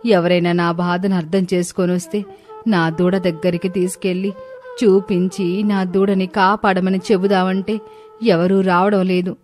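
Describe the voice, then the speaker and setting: A woman's voice: lowish voice, level tone, moderate pace, darkish tone, soft background music, lightly acted. Woman voicing a plaintive, loving mother cow. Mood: anguished, helpless. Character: distressed, loving mother cow pleading for her calf.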